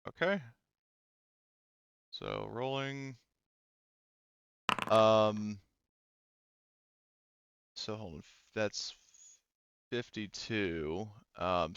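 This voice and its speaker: A man running a tabletop role-playing game as game master, casual and halting as he thinks aloud. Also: fairly low pitch, vocal fry, fairly bright tone, clear, resonant tone, dry recording. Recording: dull, lo-fi sound.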